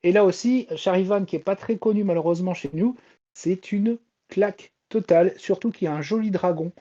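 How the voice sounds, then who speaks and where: A man's voice: sits high, a little fast, warm tone. A man chatting casually as a podcast panelist, repeating his phrases for emphasis. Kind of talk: casual chat. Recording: dull, lo-fi voice audio.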